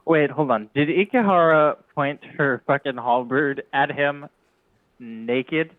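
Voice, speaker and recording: A man's voice: on the high side, takes it slowly, no echo. A man playing a tabletop role-playing game, chatting casually and bluntly. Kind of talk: casual chat. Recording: thin phone-like voice-chat audio, dry.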